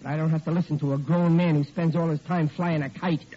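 A man's voice: a little high, steady tone, fast talker, lightly acted. An actor playing a blue-collar family man in 1940s radio comedy, colloquial and defiant, full of sheepish excuses. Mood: defensive, blustering. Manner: colloquial, blustering, makes feeble excuses. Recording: muffled, noisy old radio recording.

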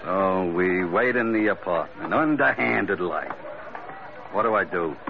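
Male slangy, grumbling sailor sidekick, good-natured, low-pitched, thin noisy radio-era recording. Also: expressive, a little slow, creaky, dull audio, full character voice. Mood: reluctant. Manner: folksy, hesitant, grumbling, slangy. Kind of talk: 1940s-1950s radio detective drama acting.

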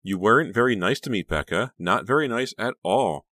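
An eager, pushy girl played by a man reading a storybook, cheerful and coaxing. The pitch is lifted well above the reader's own, and the delivery urges and wheedles in a dry, close sound. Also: lively intonation, normal speed, bright, forceful tone, no room sound. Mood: impatient, coaxing. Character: eager pushy teenage girl on the phone, giggly, insistent.

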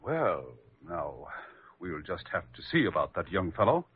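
An American actor playing a harsh, stubborn middle-aged father, gruff and dismissive, in classic radio style. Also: deep voice, big pitch swings, measured pace, heavily acted. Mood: stubborn, irritated. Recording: muffled old radio recording.

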